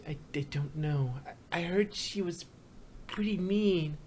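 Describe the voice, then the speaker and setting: A woman voicing a timid young cartoon pony mare, soft, breathy and hesitant, almost whispering. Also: on the low side, a little slow, voice pushed down. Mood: timid, anxious. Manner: hesitant, stammering.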